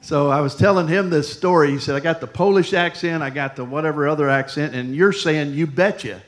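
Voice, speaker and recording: A man, a pastor, preaching a sermon in a conversational, storytelling way with lively asides to the congregation. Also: on the high side, fairly quick.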